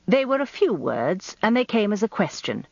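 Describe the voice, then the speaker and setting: An actress playing a genteel, self-important middle-aged English wife, narrating a radio drama in an arch, confiding, expressive way. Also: female voice, mid-range voice, moderate pace, no room sound, lo-fi sound.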